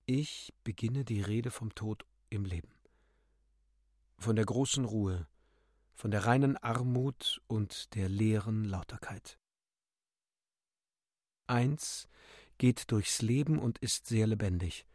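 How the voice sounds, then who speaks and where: Man doing serious literary radio drama reading, slow n deliberate, declaiming, really dry close sound. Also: fairly low pitch, a little mellow, no room sound. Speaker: narrator and reciter. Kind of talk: dramatic literary recitation for a German radio play.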